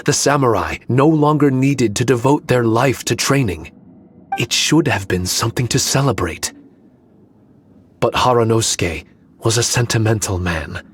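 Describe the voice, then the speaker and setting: An ancient guardian spirit from a video game, played by a man, speaks in a measured, unhurried way. He is solemn, loyal and wistful, with formal phrasing and a mid-pitched voice. Faint game ambience sits behind him. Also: a little hiss or hum, close to an everyday voice. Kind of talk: dramatic video game dialogue.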